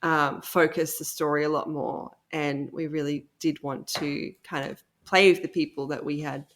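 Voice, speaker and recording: A woman's voice: low voice, fairly even intonation, normal speed, slight background noise. A woman explaining creative choices casually as an interview guest.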